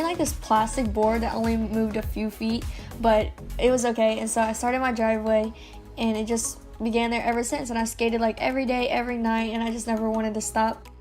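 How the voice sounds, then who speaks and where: A woman's voice: on the high side, a little mellow. A teenage girl from Louisiana narrating the story of her life in a chatty way, often saying "like". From Louisiana, USA. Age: teens. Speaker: YouTuber. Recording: music playing underneath.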